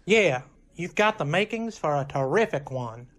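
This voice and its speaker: A woman's voice: low-pitched, expressive, normal speed. A woman playing a slangy, matter-of-fact young college musician in an old-time style radio comedy. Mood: friendly.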